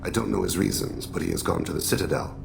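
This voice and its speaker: An actor playing a solemn, regretful alien assassin and father in a video game, speaking in measured, formal, introspective phrases with a gravelly voice. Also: noisy background. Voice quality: very deep, heavily gravelly. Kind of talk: video game dramatic dialogue.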